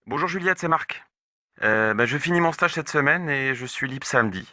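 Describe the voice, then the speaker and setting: Courteous everyman played by an actor, middle-pitched male voice, scripted lesson dialogue. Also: expressive, normal speed, pushed and bright, dry recording, clean studio sound.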